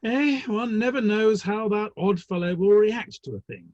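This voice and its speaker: Slightly dull, dry online sound carries a man acting a wry Victorian theatre manager. The husky voice sits fairly high and talks in an arch, ornate, gossipy way, as in a play reading. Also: moderate pace, darkish tone, dry recording, close to an everyday voice. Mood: droll, gossipy. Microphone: online call.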